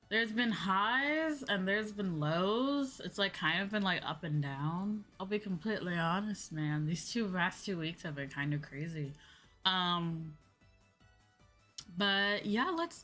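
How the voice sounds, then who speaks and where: A woman's voice: on the low side, a bit bright. A woman hosting a livestream, chatting casually and informally, repeating herself for emphasis. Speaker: VTuber streamer hosting a chat stream. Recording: background music behind voice. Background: music.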